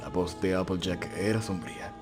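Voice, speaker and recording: A man narrates a dramatic story from a script. His voice sits on the low side for a male narrator. Music plays clearly behind him throughout. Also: fairly even intonation, a little fast.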